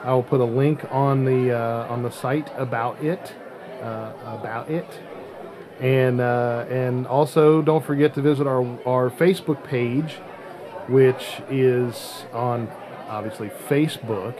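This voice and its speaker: A man hosts a casual podcast in a mid-pitched voice. There is clear chatter of other people behind him as he talks. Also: steady tone, measured pace. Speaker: podcast host. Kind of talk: casual show hosting.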